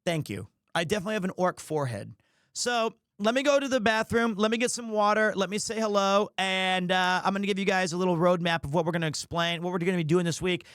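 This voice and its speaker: High-voiced man chatting on stream. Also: quick pace, a bit bright, smooth, no echo, studio quality. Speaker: livestream host. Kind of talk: casual stream chat.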